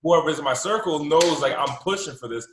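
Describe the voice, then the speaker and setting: A man hosting an interview, enthusiastic and repeating his points with halting restarts. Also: on the high side, slight background noise. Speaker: podcast host. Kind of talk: interview conversation. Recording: roomy echo.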